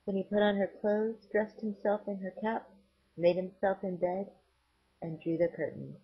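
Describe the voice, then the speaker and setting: A woman with a very husky, medium-pitched voice reads a storybook tale. The recording sounds dull and lo-fi. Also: fairly even intonation, normal speed. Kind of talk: storybook narration with dialogue.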